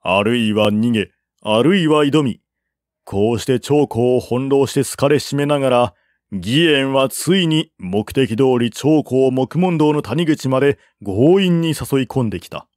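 Male narrator, historical novel reading, unhurried pace, dry studio-like sound. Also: mid-range voice, expressive, darkish tone, dry recording. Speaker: audiobook narrator. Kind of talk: dramatic literary narration of a historical war novel.

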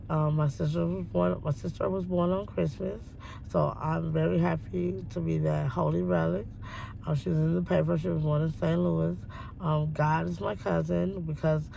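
A woman in her forties talking at length from a parked car, meandering with many ums and pauses. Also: sits low, creaky, dark tone, a little hiss or hum, rough recording. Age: 40s. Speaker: interviewee. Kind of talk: rambling personal monologue. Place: parked car by a window, daytime.

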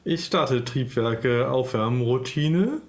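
A man speaking haltingly as a player in a casual tabletop role-playing game over an online call. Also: mid-range voice, animated. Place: indoors, online video call. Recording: clearly noisy mic with clicks.

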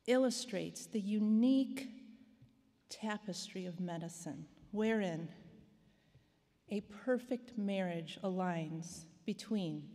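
Slow, mellow woman reading a formal tribute. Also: medium pitch. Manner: deliberate, reading from notes, stressing key words. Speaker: physician and speaker at a ceremony. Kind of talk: formal prepared speech. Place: large auditorium stage at a lectern. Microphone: lectern microphone. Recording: long hall reverb.

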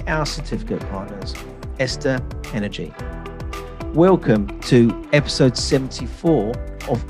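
Male podcast host, with faint music. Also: medium pitch. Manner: reads sponsor list, relaxed questions with fillers. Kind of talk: scripted intro then casual interview.

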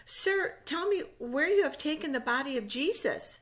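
A woman's voice: sits high, lively intonation, normal speed, a bit bright, voice pushed up. A woman reading the part of a grown woman who tells, full of wonder, how she saw a miracle. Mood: wondering, joyful.

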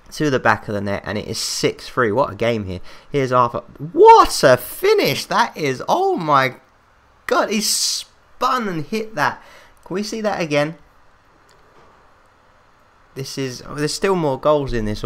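An English man commentating on a football management game in casual chat, excitable and rambling, his voice getting worn out. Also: medium pitch. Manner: excitable, rambling, talks to himself. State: losing his voice, coughing.

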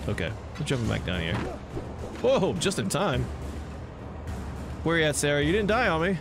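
Casual male gamer commentating over loud music. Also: a little high, huge pitch swings, moderate pace. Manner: chatty, joking asides to game characters. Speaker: gameplay host. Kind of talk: casual let's play commentary. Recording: game music behind the voice. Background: video game music and action sounds.

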